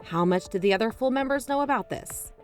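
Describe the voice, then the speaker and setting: An actress playing a suspicious, self-justifying investigator who hosts a podcast, narrating in an emphatic, confiding, conversational way. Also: female voice, fairly high pitch, lively intonation, a little fast, faint music behind, natural voice. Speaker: podcast host.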